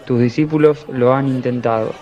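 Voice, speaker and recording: A man's voice: mid-range voice, steady tone, moderate pace, a little mellow, natural voice. Man playing anguished pleading father, dull recording. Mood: pleading, distressed. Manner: imploring, earnest. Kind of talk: religious radio drama acting. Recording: dull lo-fi, crowd murmur behind.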